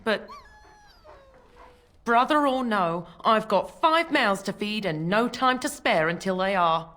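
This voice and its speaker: A weary, hard-bitten working mother from a fantasy game. She is curt and scornful, with a sceptical, coarse edge, in a mid-pitched woman's voice. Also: animated, takes it slowly, fairly bright tone. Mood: bitter. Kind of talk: video game character acting.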